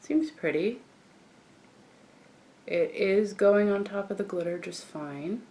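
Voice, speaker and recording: A young woman with a croaky morning voice, chatting casually as she walks through a makeup tutorial. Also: mid-range voice, takes it slowly. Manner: chatty, relaxed, frequent fillers and restarts. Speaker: beauty vlogger.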